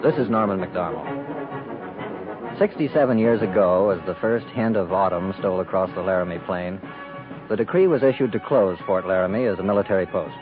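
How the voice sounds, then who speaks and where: An American man giving solemn, measured scripted narration in the manner of 1950s radio. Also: deep voice, over music. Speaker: director and narrator. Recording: narrow-band 1950s radio recording.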